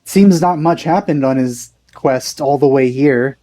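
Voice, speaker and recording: A man's voice: medium pitch, measured pace, dark tone. A man giving casual let's play commentary with dry, teasing asides.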